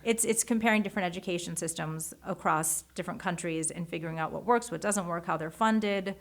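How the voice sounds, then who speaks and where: A woman in her forties whose first language is Japanese, talking as an author in a casual interview, animated and often restarting her sentences. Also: on the low side, brisk. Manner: animated, many restarts and self-corrections. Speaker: guest, author.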